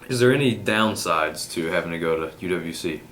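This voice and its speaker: A man's voice: deep voice, a little fast, fairly bright tone, roomy sound, a little hiss or hum. A man interviewing someone and asking short, polite questions.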